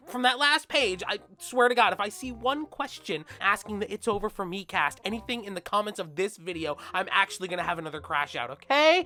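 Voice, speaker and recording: A woman hosting a fan Q&A in an expressive, emphatic and exasperated manner. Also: on the high side. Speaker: comic dub voice actor and host. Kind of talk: animated fan Q&A narration.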